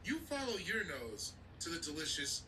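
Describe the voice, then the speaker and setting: A man voicing a hyped male cartoon toucan mascot in a high, excitable, preachy tone, recorded from a distant mic. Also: moderate pace, heavily acted. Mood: excited. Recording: distant mic, background noise.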